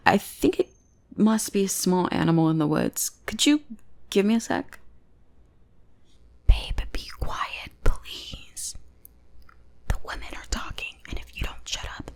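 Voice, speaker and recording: A young woman playing a teasing, possessive goth bully who is secretly smitten, in an ASMR roleplay. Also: mid-range voice. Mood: whispered threat. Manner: playful, taunting, drops into breathy whispers.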